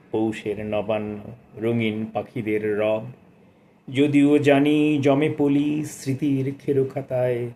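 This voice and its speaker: Mellow older man, measured and literary. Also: mid-range voice, slight background noise. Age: 60s. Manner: measured, expressive, formal literary diction with lingering phrases. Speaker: book reviewer and poetry presenter. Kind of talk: literary book talk with poetry recitation.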